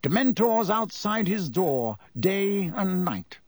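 A man's voice: sits high, sing-song, takes it slowly, darkish tone, no room sound, studio quality, voice pushed up, full character voice. A man playing a pompous, self-important older government minister in an audiobook, grave and formal in delivery. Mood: unnerved, measured.

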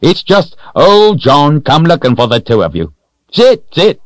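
A man's voice: on the high side, wildly swooping pitch, measured pace, dry recording, pitched above the performer's own voice. A man voicing a jolly, teasing old man in an audiobook, with a hearty, folksy manner. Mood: hearty greeting. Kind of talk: audiobook character voice.

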